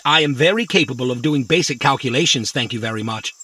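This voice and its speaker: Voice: mid-range voice, animated, quick pace, pushed and bright, husky. An actor voicing a naive, literal-minded, friendly little robot in a children's audio drama, earnest and puzzled. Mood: indignant.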